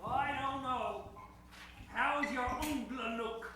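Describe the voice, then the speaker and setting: A man's voice: very high voice, slow pace, background noise. A man playing a folksy, bashful cowboy with a theatrical drawl in an improvised Western radio comedy. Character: folksy old-West cowboy Mister Tumbleweed, bashful, easily startled. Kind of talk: improvised old-time radio comedy acting. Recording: echoey stage hall.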